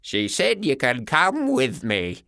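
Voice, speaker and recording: A man voicing a frail, kindly old grandfather with a trembling, halting voice in an audiobook story. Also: mid-range voice, wildly swooping pitch, gravelly, bright, forceful tone, dry recording, studio quality, heavily acted. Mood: pleased, reassuring. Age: older.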